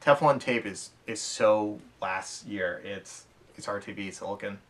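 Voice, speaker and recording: A man co-hosting a hobby podcast, chatting casually and haltingly about his gear with enthusiasm. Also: on the low side, fairly bright tone. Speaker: podcast co-host. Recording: distant from the microphone.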